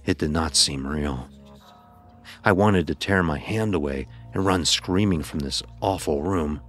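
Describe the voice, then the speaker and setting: A man playing an anguished blind youth, telling his ordeal in grave, dramatic, deliberate first-person horror narration. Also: very deep voice, a bit bright, soft background music. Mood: grave, dread.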